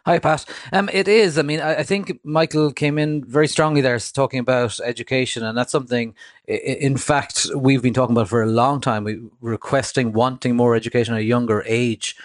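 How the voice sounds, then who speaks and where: A man from rural Cork with an Irish accent, making measured, reasoned points as an expert guest in a live radio discussion. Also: medium pitch, fairly even intonation, dry recording, studio quality. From rural County Cork, Ireland. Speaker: motoring editor, interview guest.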